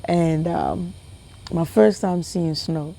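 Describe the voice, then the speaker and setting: A young woman from Ghana telling a funny personal story to her viewers in a casual, animated way, often repeating herself and laughing. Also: on the low side, slow, vocal fry, background noise. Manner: animated, repetitive, laughing, talks to viewers as family. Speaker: vlogger.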